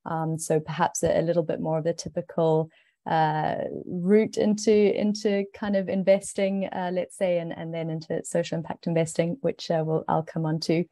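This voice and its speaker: A woman's voice: lowish voice, monotone, moderate pace, warm tone, dry recording. A woman with a South African accent, an investment manager on a panel, speaking casually and hesitantly with repeated words. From Zimbabwe, raised in South Africa.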